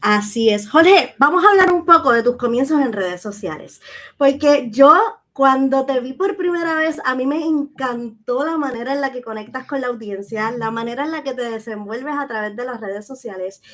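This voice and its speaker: A woman's voice: high voice, lively intonation, normal speed, fairly bright tone. A woman hosts an interview show. She talks in a conversational, welcoming way as she introduces and questions her guest.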